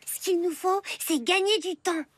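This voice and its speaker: A woman's voice: very high voice, moderate pace, dry recording. A woman voicing a young girl who is eager and scheming, in a cartoon. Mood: polite.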